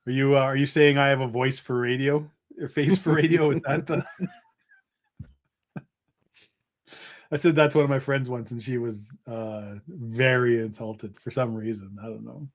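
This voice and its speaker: A man's voice: mid-range voice, steady tone, a little slow, pauses often. A man co-hosting a casual livestream chat, joking and laughing often. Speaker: co-host.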